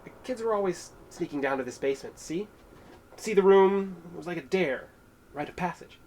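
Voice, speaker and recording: A man's voice: high-pitched, animated, normal speed, darkish tone, a little hiss or hum, poor audio. An actor playing a resentful, unhinged young man in a horror audio drama, with an accusatory, menacing manner. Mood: eerie, storytelling.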